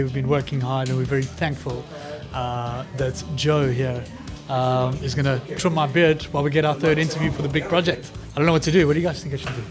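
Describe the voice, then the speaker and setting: An upbeat male travel vlog host chats and jokes during an interview. His voice sits a bit high. Music plays clearly behind him.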